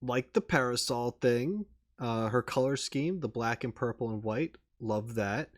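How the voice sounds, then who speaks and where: Casting: male game reaction host, average pitch, informal unscripted commentary, halting and thoughtful. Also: animated. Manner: hesitant, thinking aloud, repeating words as he puzzles. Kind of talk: casual off-the-cuff commentary.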